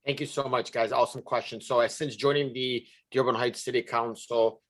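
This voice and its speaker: Guy answering at a candidate forum, medium pitch, kinda halting and informal. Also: steady tone, a bit bright. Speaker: city council member and candidate.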